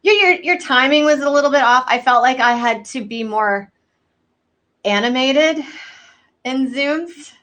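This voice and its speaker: Bright-voiced soft-spoken American woman. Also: high voice, a little slow. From Houston, United States. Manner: soft-spoken, lively, with many fillers. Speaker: comedian guest. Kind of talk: casual chat.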